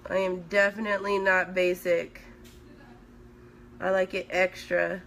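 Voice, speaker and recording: Bright-voiced hoarse Swedish-born woman. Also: fairly low pitch, flat delivery, unhurried, slight background noise. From Sweden. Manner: chatty, reads viewer comments aloud while working. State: hoarse, voice recovering. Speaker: makeup artist. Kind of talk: live makeup stream chat. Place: indoors at home, small room. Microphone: phone at arm's length.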